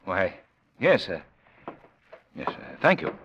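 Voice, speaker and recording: Deep-voiced, expressive gentleman sleuth. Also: very slow, slightly rough, full character voice. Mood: flustered. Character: brisk, determined gentleman amateur sleuth. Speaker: radio drama actor. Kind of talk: old-time radio drama acting. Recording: noisy, clicking old radio recording.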